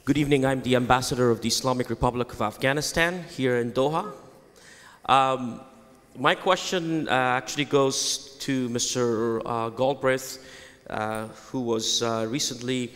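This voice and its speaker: A man in a debate audience speaks into a handheld mic at a middle pitch, formal and halting, filling gaps with long ums. The vast hall lends a lingering echo. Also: steady tone, a bit bright. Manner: halting, many fillers, formal. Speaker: ambassador asking a question.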